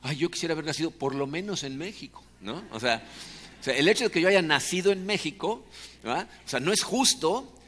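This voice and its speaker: A man's voice: a little high, expressive, fairly quick, a bit bright, big-room sound, a little hiss or hum. A Mexican man with a Mexican Spanish accent, preaching a sermon as a pastor in a conversational way, often checking in with the congregation. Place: church hall.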